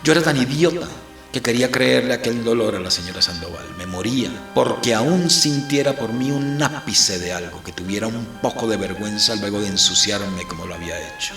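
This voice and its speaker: A disgraced priest telling his story in first person. The voice is fairly low and full of fry, introspective and bitter. It rings in a roomy space with faint music. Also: expressive, fairly quick, a bit bright. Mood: bitter, wounded. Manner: literary, introspective. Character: bitter, brooding accused priest recounting his trial. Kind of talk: first-person dramatized narration.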